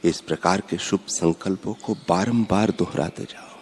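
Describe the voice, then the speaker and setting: A man giving a measured, instructive spiritual discourse, repeating his points. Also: low voice, fairly even intonation, a little mellow, slight background noise. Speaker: spiritual speaker. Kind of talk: slow devotional discourse. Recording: long hall reverb.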